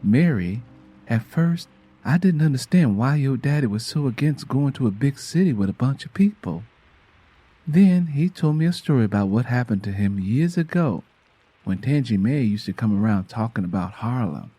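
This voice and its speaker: A man's voice: medium pitch, expressive, normal speed, dark tone, breathy edge, no room sound, voice pushed down. A man voicing a frank, world-wise mother from the American South, telling a family tale in a folksy, plainspoken way. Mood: frank, grave.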